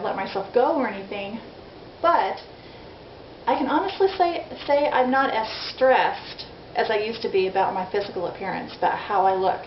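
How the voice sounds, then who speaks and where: A woman in her forties chatting candidly in a casual video diary, self-deprecating and frank. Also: mid-range voice. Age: 40s. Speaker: vlogger. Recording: dull lo-fi audio in an echoey room with faint noise.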